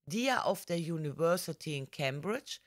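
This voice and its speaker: A woman voicing a proud, defiant young woman who confides family secrets in an audiobook, earnest, formal and deliberate. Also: low voice, animated. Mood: earnest confiding. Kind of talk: audiobook character reading.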